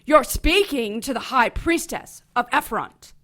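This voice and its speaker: High, imperious, scornful old witch. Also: lively intonation, unhurried, fairly bright tone. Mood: haughty. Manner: imperious, disdainful. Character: haughty, scornful older witch, mother of the high priestess. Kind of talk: theatrical audio drama acting.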